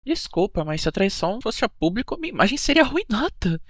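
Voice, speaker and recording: A woman acts out a scheming young cruise hostess with a Brazilian accent. She talks quickly and swings widely in pitch, touchy and gossipy, in a dry animated-film recording. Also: no room sound. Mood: explaining. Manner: defensive, gossipy, bickering. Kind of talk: animated murder-mystery dialogue.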